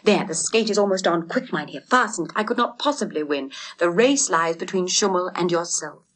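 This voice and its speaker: A woman voicing an earnest teenage boy in a storybook, pleading in a hushed, husky, urgent way. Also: on the low side, a little fast. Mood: urgent, selfless.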